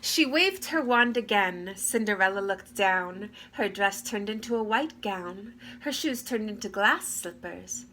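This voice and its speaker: A woman reading a fairy tale aloud to children with deliberate, expressive phrasing. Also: mid-range voice, poor audio. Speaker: read-aloud storyteller. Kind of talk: expressive children's story narration. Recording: clear background noise.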